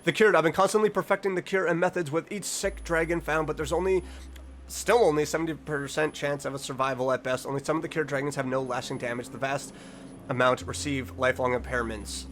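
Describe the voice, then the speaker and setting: A man's voice: a little high, fairly even intonation, brisk, fairly bright tone. A man hosting a reaction video, reading text aloud and commenting casually, sometimes stumbling over words and correcting himself. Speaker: online reaction video host.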